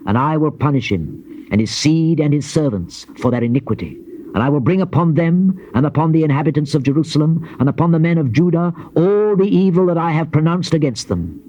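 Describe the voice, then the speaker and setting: A man's voice: on the high side, lively intonation, dark tone, natural voice. A man acting a solemn prophet, dramatically swooping delivery. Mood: stern, proclaiming. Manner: solemn, declamatory, measured phrasing with emphatic rises. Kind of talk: dramatized scripture reading, classical stage delivery. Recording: dull lo-fi old cassette, faint hiss.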